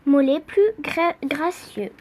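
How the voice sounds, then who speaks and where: A young female reader with a very high, childlike voice reads a picture book aloud. The reading is slow and halting, word by word, with playful emphasis. There is faint noise in the background. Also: a little mellow.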